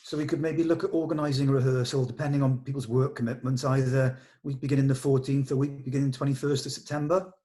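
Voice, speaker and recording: A man speaks in a casual meeting. His voice sits at an average pitch, and he talks like an organiser working through plans with colleagues. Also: flat delivery, fast, few pauses, dark tone.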